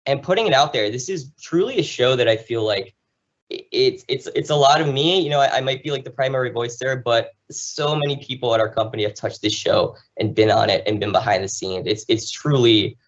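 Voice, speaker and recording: Male American podcast guest, conversational and animated storyteller, mid-pitched voice, slightly lo-fi recording. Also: a little fast. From New Jersey, United States. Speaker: podcast guest, travel show host. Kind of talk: conversational interview, enthusiastic storytelling.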